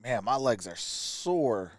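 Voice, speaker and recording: A man's voice: medium pitch, big pitch swings, slow, no echo, clean studio sound. A man hosting a live stream, giving casual draft commentary and reading out stats in clipped phrases.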